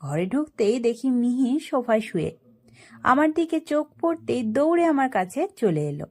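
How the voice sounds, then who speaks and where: A woman storyteller narrating a first-person story in an expressive, dramatic way. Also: a little high, moderate pace.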